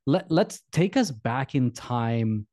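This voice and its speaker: A man's voice: medium pitch, sing-song, takes it slowly, dark tone, dry recording. Friendly man hosting a podcast, dry sound. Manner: friendly, rambling, repeats words. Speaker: podcast host. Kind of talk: casual interview.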